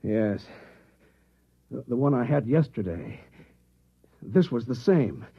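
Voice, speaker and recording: An actor with an American accent playing an uneasy, puzzled married accountant in an old-time radio drama, speaking in a husky, hesitant way. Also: male voice, medium pitch, sing-song, takes it slowly, exaggerated voice. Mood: shaken, hesitant. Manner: hesitant, brooding, questioning. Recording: muffled phone-like old radio recording.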